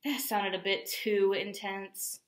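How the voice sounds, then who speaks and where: A woman's voice: mid-range voice, little pitch movement, slow pace, roomy sound, natural voice. A woman voicing a droll, food-loving, reluctant cartoon beagle thinking aloud in a dry, wry way. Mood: wry, doubtful.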